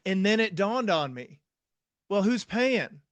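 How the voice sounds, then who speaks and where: Young man, interview host, storytelling manner, fairly high voice, dry close sound. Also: big pitch swings, slow, no room sound. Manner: storytelling, voices his own past thoughts. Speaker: psychiatrist host. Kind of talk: casual interview talk.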